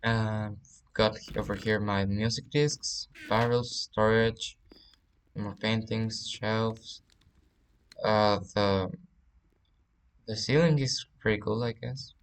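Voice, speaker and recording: A man with a Spanish accent, a native Spanish speaker, giving a casual game tour and often pausing to search for words. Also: fairly low pitch, steady tone, smooth. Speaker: let's play creator.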